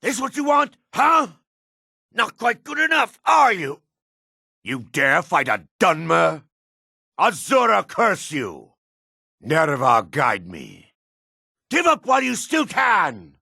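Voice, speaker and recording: A gruff dark elf warrior in a fantasy video game. His voice is gravelly and husky, recorded very dry and close. He is proud and combative, with a theatrical delivery. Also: high-pitched, huge pitch swings, takes it slowly, long pauses, no echo, exaggerated voice. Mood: taunting, aggressive. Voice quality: gravelly, rasping.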